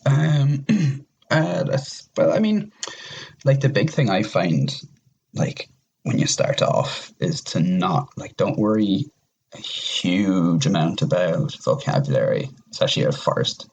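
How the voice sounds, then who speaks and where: A man's voice: sits low, sing-song, takes it slowly, vocal fry, darkish tone. A man talking casually as a podcast guest, in a rambling, self-correcting way. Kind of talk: casual conversational discussion.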